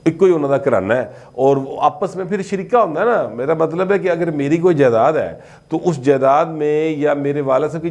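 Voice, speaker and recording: A man's voice: fairly high pitch, a little mellow. A man with a Pakistani Punjabi accent giving conversational, informal commentary as a political commentator. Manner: conversational, slipping between Urdu and Punjabi.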